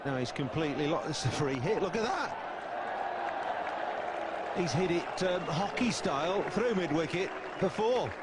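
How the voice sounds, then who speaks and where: Male cricket commentator, loud crowd. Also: fairly high pitch, animated, moderate pace, long pauses. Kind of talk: live TV sports commentary. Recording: broadcast with loud crowd noise.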